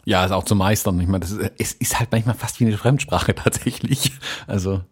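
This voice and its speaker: Husky Swabian-accented man, chatty podcast host. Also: lowish voice, fairly quick. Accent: light Swabian. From Swabia, southern Germany. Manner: chatty, laughs often, self-mocking. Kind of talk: casual podcast chat.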